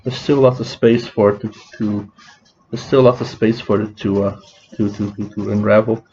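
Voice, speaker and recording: A man talks in a loose, rambling vlog, his voice a bit scratchy and mellow. He stops and restarts often, full of fillers. The sound is slightly dull with a faint hiss behind it. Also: fairly low pitch, steady tone. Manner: halting, many restarts and fillers. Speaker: vlogger.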